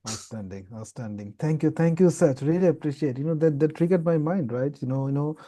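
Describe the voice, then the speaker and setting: A man's voice: a little high, fairly quick, mellow. A man hosting a panel session, effusive and given to repeating his phrases. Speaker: event host. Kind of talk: moderating an online panel session.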